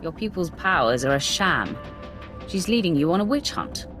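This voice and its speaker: A woman's voice: fairly low pitch, expressive, normal speed, fairly bright tone, echoey room, soft background music. An actress playing an arrogant, ruthless female Sith warrior in a video game, speaking in a haughty, curt way. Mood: scornful. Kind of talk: dramatic scripted game dialogue.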